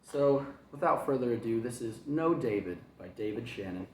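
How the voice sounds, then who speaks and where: A man's voice: mid-range voice, lively intonation, normal speed. A man, a kindergarten teacher, reading a picture book aloud to children with chatty, rambling asides and chuckles. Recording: echoey room.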